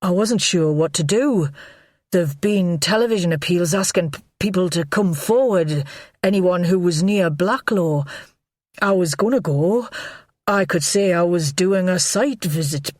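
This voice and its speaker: A woman's voice: fairly low pitch, measured pace, darkish tone, dry recording, clean studio sound. A woman reading the part of a nervous, stammering middle-aged man in an audiobook. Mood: anxious. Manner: stammering, anxious. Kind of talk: audiobook character voice.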